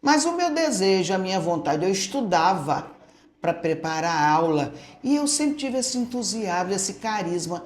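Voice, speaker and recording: An older woman, a retired school director, telling stories from her early years in a relaxed way and often tagging her sentences with "né?". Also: medium pitch, normal speed, a little hiss or hum. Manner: storytelling, tags statements with "né?". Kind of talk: reminiscing interview answers. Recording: echoey room.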